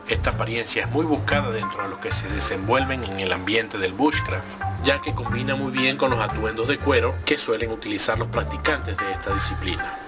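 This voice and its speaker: A man in his thirties presents survival gear while standing in a forest. His mid-range voice stays clear and explanatory, and he is filmed on a camera held at arm's length. Background music plays steadily under his voice. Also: medium pitch. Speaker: survival gear channel host. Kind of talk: product review vlog. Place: outdoors in a forest.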